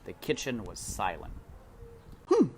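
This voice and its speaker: A woman narrating a children's novel aloud with expressive, deliberate, dramatic phrasing. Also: a bass voice, a little hiss or hum.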